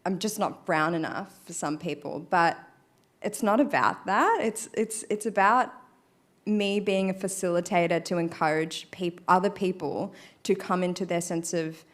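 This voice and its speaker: A woman's voice: lowish voice, normal speed. A woman speaking frankly as a guest on a panel, chatty with many fillers. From Australia. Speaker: guest artist. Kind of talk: panel discussion.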